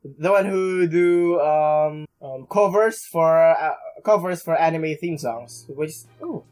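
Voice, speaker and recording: Let's play host: young male, fairly high voice, halting and rambling delivery, game music behind. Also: a little mellow. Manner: halting, stumbling, rambling, mild swearing. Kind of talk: casual game commentary, reading on-screen text aloud.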